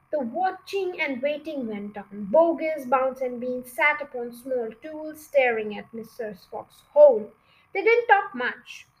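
A woman narrates a children's storybook in a very high voice. She reads slowly and deliberately, giving the sentences expression as an amateur reader would. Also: rough recording.

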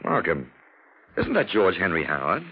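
A suave, condescending gentleman sleuth of old radio drama. His low voice swings with lively, arch wit and ornate phrasing. The vintage recording is dull with faint noise. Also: normal speed. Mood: urbane, wry. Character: urbane, witty, condescending gentleman amateur detective. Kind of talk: 1940s radio detective drama acting.

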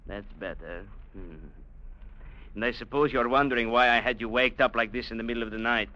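An actor playing a domineering, sarcastic colonial trading captain in an old radio drama. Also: male voice, medium pitch, brisk, sharp tone, close to an everyday voice. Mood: mocking. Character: sneering, bullying colonial trading agent captain, a man. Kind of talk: 1940s-50s radio drama acting. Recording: muffled, hissy old radio broadcast.

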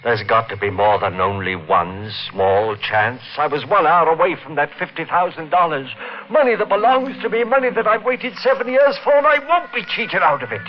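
An actor playing a greedy, desperate murderer in an intense, insistent 1940s radio drama style. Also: male voice, fairly high pitch, lively intonation, normal speed, natural voice. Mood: desperate denial. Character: greedy, desperate murderer obsessed with insurance money. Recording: muffled, noisy old radio recording.

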